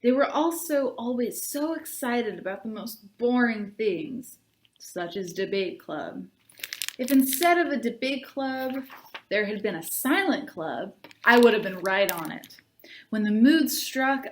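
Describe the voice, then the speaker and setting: A woman, a librarian, reading a children's book aloud and chatting to viewers in an expressive, upbeat way. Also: medium pitch.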